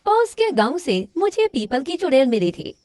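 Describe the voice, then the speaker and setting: Woman as an innocent high-voiced young deer-man. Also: sing-song, normal speed, a little mellow, dry recording, clean studio sound. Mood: cheerful. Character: innocent male deer turned sweet-bearing young man.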